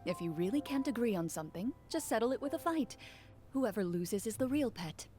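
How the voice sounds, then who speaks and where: A woman playing a composed, earnest young duelist in scripted video game dialogue, speaking in a measured, formal, understated way. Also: a little high, animated, dark tone, lightly acted. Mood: composed, reminiscing. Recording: music under the voice.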